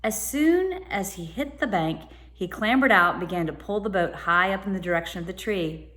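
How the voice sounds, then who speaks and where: A woman with a mid-pitched voice reads a children's story aloud. She narrates like a teacher and stops for short explanatory asides. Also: animated, a bit bright. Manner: pauses to explain words to listeners.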